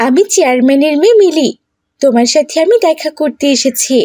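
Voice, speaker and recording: A woman's voice: sits high, animated, a little fast, mellow, no echo, clean studio sound, voice pushed up. A woman voicing a mischievous, scheming young village girl in a cartoon, with a wheedling, bossy way of talking. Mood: casual.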